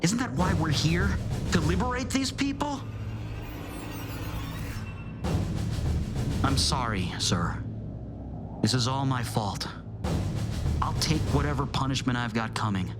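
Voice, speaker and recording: A man playing an earnest, dutiful young soldier, a colonel's son, in an animated sci-fi drama. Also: mid-range voice, normal speed, over loud music, lightly acted. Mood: contrite, faltering. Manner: hesitant, earnest.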